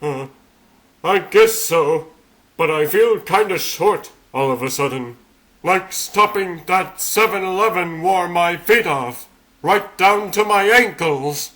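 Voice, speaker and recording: A man's voice: sits high, expressive, takes it slowly, a bit bright, exaggerated voice. A man voicing a plucky, sarcastic little talking rabbit superhero in a cartoon comic dub. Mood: casual, impatient. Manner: sarcastic, chatty, quipping. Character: wisecracking, plucky three-foot talking rabbit superhero, male.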